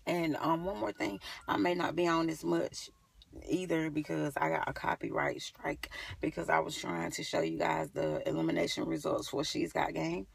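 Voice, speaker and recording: Gravelly young woman chatting casually. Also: low-pitched, moderate pace, no room sound. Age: young adult. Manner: informal, rambling, thinking aloud. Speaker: beauty vlogger. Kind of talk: casual chat to camera. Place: inside a parked car. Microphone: phone at arm's length. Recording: slightly distant phone recording.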